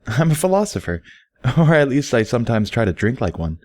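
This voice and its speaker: A man's voice: medium pitch, very animated, fairly quick, darkish tone, dry recording, clean studio sound, voice pushed up, lightly acted. A man voicing a confident, teasing, educated female pony who explains things patiently and wordily in a story reading. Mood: playfully confident.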